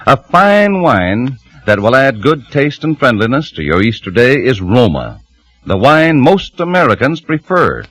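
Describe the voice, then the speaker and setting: A man reading a scripted wine advertisement as a radio announcer, with a smooth and polished delivery. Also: mid-range voice, wildly swooping pitch, a little slow, fairly bright tone, dry recording. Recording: old radio broadcast.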